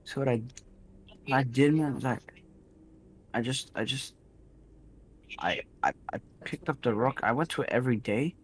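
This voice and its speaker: A man telling improvised stories casually, rambling and halting, often repeating his phrases. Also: medium pitch, a little hiss or hum. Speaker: storyteller in a gaming video. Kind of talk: casual improvised storytelling while gaming.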